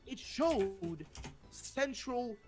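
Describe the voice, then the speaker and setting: A man's voice: extremely high pitch, lively intonation, extremely slow, over music. A young man with a Swedish accent, hosting a casual discussion and rambling with many fillers and restarts. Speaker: host.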